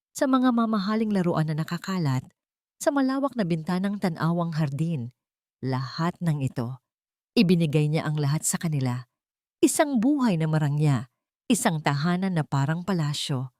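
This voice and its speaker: A woman's voice: low-pitched, darkish tone, no echo, studio quality. A woman narrating a scripted story in a solemn, melodramatic manner.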